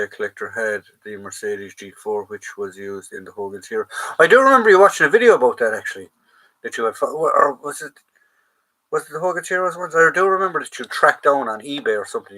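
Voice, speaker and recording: Chatty Irish man, bright tone. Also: mid-range voice, a little fast, rough recording. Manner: chatty, reads out viewer comments, trails off and restarts. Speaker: host of a live chat. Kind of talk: casual live-stream Q&A reading chat comments.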